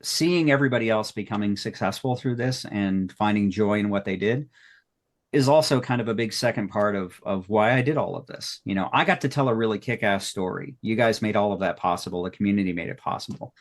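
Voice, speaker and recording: A man's voice: lowish voice, fairly quick. A man talking casually and reflectively as a podcast creator looking back, rambling and appreciative of his colleagues. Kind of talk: casual retrospective talk.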